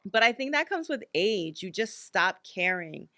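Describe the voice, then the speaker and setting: A woman, co-hosting a podcast in a frank, unfiltered way. She talks at a middling pitch and leans on fillers as she thinks aloud. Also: very animated, a little slow, bright, forceful tone. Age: 50s. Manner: candid, blunt, many filler likes. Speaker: podcast co-host. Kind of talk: casual chat.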